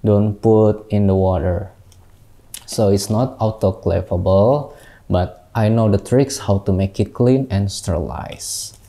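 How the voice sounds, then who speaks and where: Slow, dark-voiced Indonesian man unboxing. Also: on the low side, steady tone, vocal fry. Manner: unhurried, halting non-native English with many fillers. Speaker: tattoo artist reviewing gear. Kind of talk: casual product unboxing. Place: small indoor tattoo studio room. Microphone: lapel mic.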